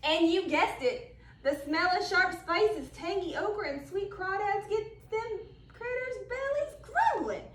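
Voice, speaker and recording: A woman reading a children's picture book aloud in an expressive storytelling manner with Cajun-flavored phrasing. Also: very high-pitched, measured pace, echoey room, distant mic. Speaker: storybook reader. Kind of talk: animated read-aloud for children.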